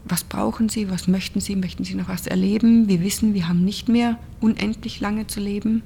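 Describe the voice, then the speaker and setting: A woman's voice: mid-range voice, fairly even intonation, brisk, gravelly, warm tone, a little hiss or hum. A woman working in ward care, explaining plainly in an interview and posing rhetorical questions. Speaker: care professional on a hospital ward.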